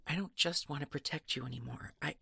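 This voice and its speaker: A woman playing a protective, devoted supernatural girlfriend in an intimate audio roleplay, breathy and halting, often near a whisper. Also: sits low, bright, forceful tone, no room sound, exaggerated voice. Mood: pleading, resigned.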